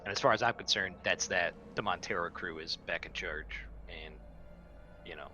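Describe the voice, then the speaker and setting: A man's voice: deep voice, moderate pace, bright, forceful tone, soft background music. A man playing a tabletop game, talking casually in and out of character with a dry, joking manner.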